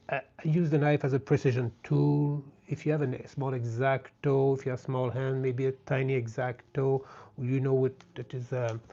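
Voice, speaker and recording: A man with a French Canadian accent, a native French speaker, chatting gently and casually as he hosts a live craft stream. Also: medium pitch. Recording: dull, lo-fi sound.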